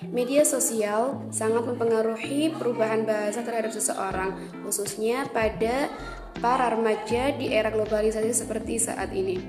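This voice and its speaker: A young woman reading a prepared university presentation at a measured pace with deliberate pauses. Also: a little high, fairly even intonation, echoey room, music in the background.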